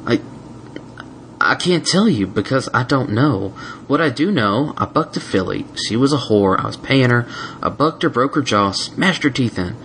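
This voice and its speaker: Haunted ex-brute pony stallion, read by a man, halting and stammering, faint hiss. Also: medium pitch, animated, a bit bright, voice pushed up. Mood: confessing. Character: tormented male pony, ashamed former brute.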